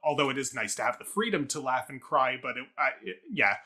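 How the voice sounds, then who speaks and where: A man with a very bright, sharp voice at a middle pitch co-hosts a casual arts review. He works through his points aloud in long, analytical sentences. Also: expressive, a little fast. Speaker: talk show co-host and theatre reviewer.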